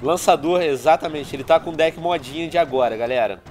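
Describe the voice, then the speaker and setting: A man's voice: a little high, brisk, slight background noise. A man in his thirties with a Brazilian Portuguese accent, stuffy from a cold, chatting to viewers in casual game commentary over a headset at home. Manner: chatty, addresses viewers constantly, excitable outbursts. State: congested with a cold. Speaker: gaming YouTuber. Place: small room at home, indoors.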